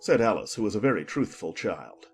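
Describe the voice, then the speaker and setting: A man narrating a scripted audiobook story in an expressive manner. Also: fairly low pitch, a little fast, creaky, husky, faint music behind.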